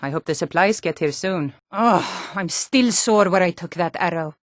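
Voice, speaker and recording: An actress playing a hardy, proud Nord warrior woman in a fantasy video game, with a blunt, forceful, martial delivery. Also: lowish voice, animated, moderate pace, no echo, studio quality, lightly acted. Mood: grumbling.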